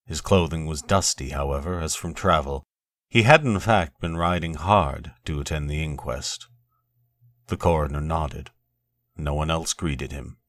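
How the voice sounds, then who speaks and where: A man narrating a horror story in a deliberate, theatrical, literary manner, like the host of a scripted show. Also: very deep voice, a bit bright, dry recording.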